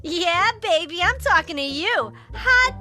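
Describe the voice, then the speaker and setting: Game witch: sassy and flirtatious, very high voice, swooping delivery, music underneath. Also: expressive, unhurried, pushed and bright, heavily acted. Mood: flirty, playful. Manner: sassy, innuendo-laden, exclaiming. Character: brazen, flirty, spirited adult witch. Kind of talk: cartoonish video game acting.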